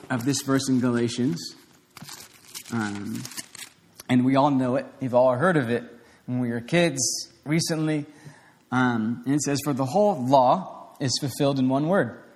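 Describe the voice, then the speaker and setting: A man giving an informal, conversational sermon to a small group, with a voice turning raspy and plenty of restarts and fillers. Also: mid-range voice, darkish tone. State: voice getting raspy. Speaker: preacher. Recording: long hall reverb.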